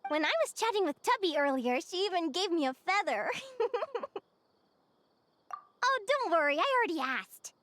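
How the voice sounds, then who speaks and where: High, animated young adventurer girl. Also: moderate pace, long pauses, slight background noise, full character voice. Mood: earnest, upbeat. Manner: bubbly, earnest, expressive. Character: cheerful, curious, earnest young woman adventurer and naturalist. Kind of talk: animated video game character acting.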